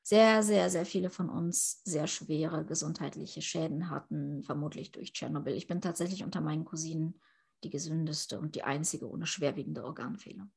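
A woman's voice: deep voice, normal speed, gravelly, a little mellow, husky. A woman in her thirties from Ukraine, answering interview questions in an earnest, thoughtful way. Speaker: interviewee, politician.

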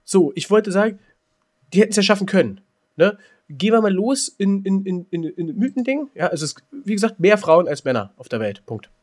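Male podcast co-host, rambling and excitable, slightly high voice, jokey casual delivery. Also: fairly quick.

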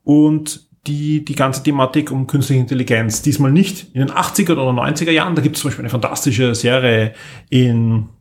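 A man's voice: medium pitch, a little fast. A male podcast co-host with a mid-range voice. He talks casually and keenly, building long, wandering explanations as he recommends things to listeners. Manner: enthusiastic, rambling explanations. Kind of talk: casual podcast chat, recommending audio dramas.

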